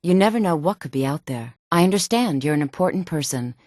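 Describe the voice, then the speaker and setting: An actress playing a sultry, self-assured woman in a fantasy video game, speaking in a poised, measured and haughty manner. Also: deep voice, expressive, darkish tone, no echo, clean studio sound. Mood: cool, courteous. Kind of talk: video game character dialogue.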